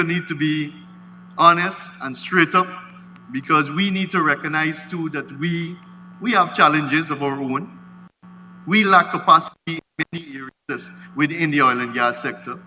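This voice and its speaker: A man's voice: fairly high pitch, bright, forceful tone, big-room sound, a little hiss or hum, rough recording. A man, a minister, making an emphatic speech to parliament. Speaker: government minister. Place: large assembly hall.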